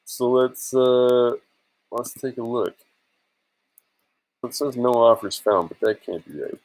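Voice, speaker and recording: A man's voice: mid-range voice, slow, darkish tone, no room sound, poor audio. A man chatting casually in an informal, rambling and hesitant way for a screen-share vlog, sounding a bit tired. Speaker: vlogger and affiliate marketer.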